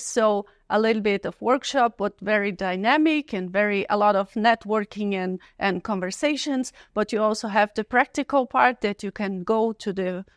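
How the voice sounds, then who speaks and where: A woman's voice: medium pitch, clear, resonant tone, no room sound, clean studio sound. A woman with a Brazilian Portuguese accent, a professor hosting an interview in English, friendly and encouraging with frequent affirmations. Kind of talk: interview host.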